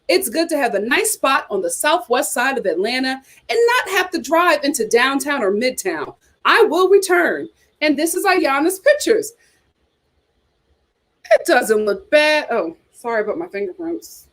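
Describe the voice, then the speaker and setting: A woman with an American accent and a high voice hosts a casual chat. Her pitch swings up and down in a lively way as she reads aloud and comments. She drops in playful, teasing asides as she goes. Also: measured pace. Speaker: host of a reality TV chat show.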